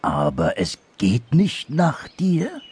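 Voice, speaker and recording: A man's voice: medium pitch, wildly swooping pitch, takes it slowly, creaky, slightly rough, pitched above the performer's own voice, full character voice. A man voicing a big, easily hurt anteater in a storybook, hesitant and brooding. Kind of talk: storybook character voice.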